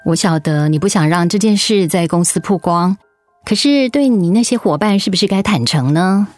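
An actress playing a caring, gently earnest cancer survivor advising a colleague in a radio drama. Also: female voice, fairly low pitch, lively intonation, normal speed, no room sound, faint music behind, natural voice. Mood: gentle, encouraging. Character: caring older female colleague who survived breast cancer.

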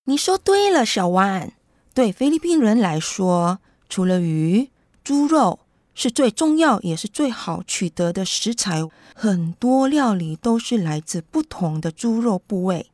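A woman's voice: medium pitch, big pitch swings, a little slow, a little mellow, no room sound, clean studio sound. A woman playing a kindly, patient mother who explains things in a children's radio drama. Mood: kindly, explaining.

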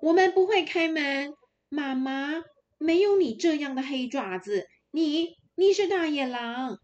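A woman doing shrill stammering little goat kids. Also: lively intonation, a little slow, muffled recording. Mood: defiant. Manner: loud, stammering, childlike. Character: frightened little young goats, shrill and childlike.

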